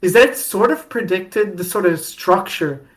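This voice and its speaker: A woman hosting a podcast in a casual fan-discussion style, with halting delivery that often repeats and restarts phrases. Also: lowish voice. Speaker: podcast host.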